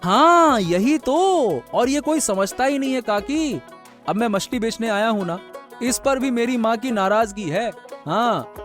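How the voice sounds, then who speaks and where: A man playing a stubborn, cheerful young fish seller in an animated folk tale, chatty and folksy. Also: extremely high pitch, unhurried, faint music behind, full character voice. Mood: exasperated. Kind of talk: animated Hindi moral-story voice acting.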